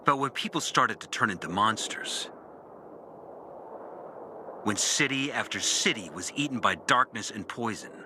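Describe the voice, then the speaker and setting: An actor playing a bitter, disillusioned former priest in a video game, speaking a brooding, deliberate confessional monologue with dramatic pauses. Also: male voice, lowish voice, expressive, noisy background. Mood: troubled, grim. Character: disillusioned former priest, bitter and intense, recounting his lost faith. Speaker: character narrating an audio journal.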